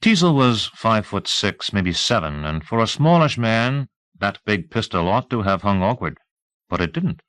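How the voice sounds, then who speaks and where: A man with a fairly low voice narrates an audiobook novel. His storytelling is measured and clearly articulated, recorded dry with little room sound. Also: big pitch swings, fairly bright tone, no echo.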